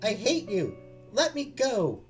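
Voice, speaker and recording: A man plays a distraught young woman in grief, pitched high and strained. The delivery is pleading and hysterical, like a dramatic visual novel scene. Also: huge pitch swings, slow pace, soft background music, exaggerated voice. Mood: hysterical anger.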